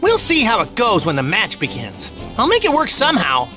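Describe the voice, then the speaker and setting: A woman's voice: medium pitch, wildly swooping pitch, fairly quick. A woman voicing an upbeat, determined young male martial arts hero in an anime game, plainspoken and confident. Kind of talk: anime video game dub acting. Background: music.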